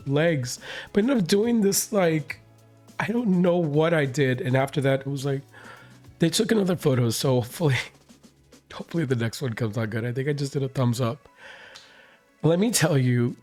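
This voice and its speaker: Hoarse man rambling through a recap. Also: mid-range voice, a little mellow. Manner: rambling, many fillers and restarts. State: hoarse, recovering voice. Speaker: reaction channel host. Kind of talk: casual recap vlog.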